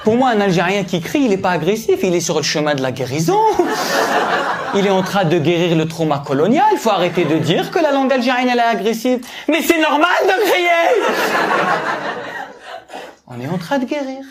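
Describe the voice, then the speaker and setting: A man of Algerian family doing a stand-up routine, talking animatedly and building up to shouted punchlines. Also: high-pitched, sing-song, normal speed, very noisy. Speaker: stand-up comedian.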